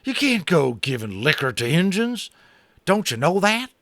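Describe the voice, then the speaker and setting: A man voicing a jittery, hard-drinking tiny cowboy with a high, strained, twangy voice and frontier slang. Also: very animated, measured pace, fairly bright tone, dry recording, studio quality, full character voice. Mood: indignant. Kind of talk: audiobook character voice.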